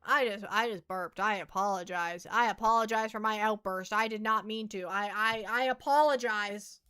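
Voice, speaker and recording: A woman's voice: medium pitch, normal speed, bright, forceful tone, clean tone. A woman chatting casually to viewers as a livestream host, rambling playfully and often repeating her phrases.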